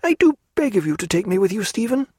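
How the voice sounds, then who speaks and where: A man's voice: big pitch swings, fast, a little mellow, slightly rough, no echo, clean studio sound, exaggerated voice. A man reading aloud voices a proud, desperate young aristocratic woman who is pregnant and pleads in an upper-class manner. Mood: pleading. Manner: imploring, high-strung, upper-class.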